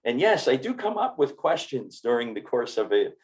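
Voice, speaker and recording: A man's voice: medium pitch, very animated, normal speed. A man, a doctor hosting a health interview podcast, speaking enthusiastically and often repeating words. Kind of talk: casual interview talk.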